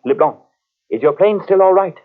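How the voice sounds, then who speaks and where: An actor playing an urgent, authoritative gentleman in an old radio horror drama, insistent and dramatic. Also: high voice, lively intonation, moderate pace, phone-quality sound. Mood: tense, questioning.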